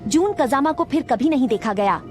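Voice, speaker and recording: A woman's voice: sits high, animated, normal speed, a little mellow, soft background music. A composed female commander. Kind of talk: dubbed video game cutscene.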